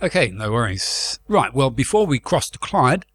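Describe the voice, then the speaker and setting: A man's voice: medium pitch, sing-song, moderate pace, fairly bright tone, slightly rough, dry recording. A man playing a breezy, tactless radio presenter in a comedy show. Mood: breezy, casual. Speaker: radio host and announcer.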